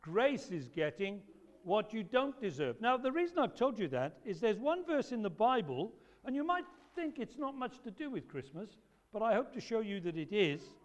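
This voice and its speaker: A man with a loud voice, preaching an animated talk to children at a church service. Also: high-pitched. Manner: lively, emphatic, storytelling to children. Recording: echoey hall reverb.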